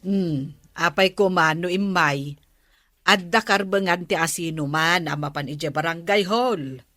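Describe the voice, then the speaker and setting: A woman acts out an unhurried village matron with an Ilocano accent in a barangay comedy drama. She speaks slowly in a slightly low but bright, forceful voice. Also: no room sound, studio quality. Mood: questioning, wry.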